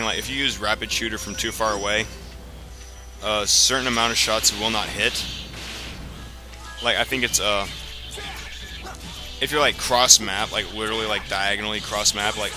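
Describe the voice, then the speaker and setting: Low-pitched man explaining a video game. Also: fairly even intonation, normal speed, long pauses. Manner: rambling, explanatory, frequent profanity. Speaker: let's play host. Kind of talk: casual video game commentary. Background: video game music and sound.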